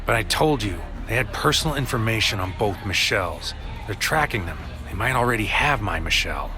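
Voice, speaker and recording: Low-voiced, brooding psychic soldier. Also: male voice, animated, brisk. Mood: anxious, insistent. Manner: terse, grim, intense, clipped poetic phrasing. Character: brooding, desperate male psychic soldier, narrating his mission. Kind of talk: noir-like audio drama inner monologue. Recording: loud music behind voice. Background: loud music.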